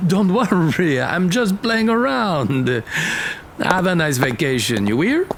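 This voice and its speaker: A man's voice: high-pitched, very animated, takes it slowly, background noise, exaggerated voice. A man playing a genial, persistent military colonel in an audio drama, informal and a little hesitant. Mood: playful. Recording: music under the voice.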